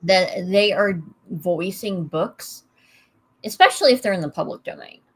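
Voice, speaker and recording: A woman hosts a laid-back evening chat, speaking slowly at a middle pitch. Her tone stays fairly flat, and she drifts through fillers and restarts as she talks. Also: husky. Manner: relaxed, unhurried, many fillers and restarts. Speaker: host. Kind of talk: casual chat stream.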